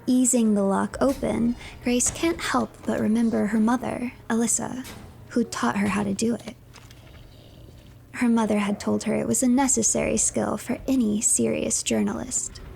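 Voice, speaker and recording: A woman narrating a video game as a story in a scripted third-person style, storyteller-like, often dropping to a hush at the ends of phrases. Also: fairly high pitch, steady tone, normal speed, creaky, a little hiss or hum. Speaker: streamer narrating game playthrough.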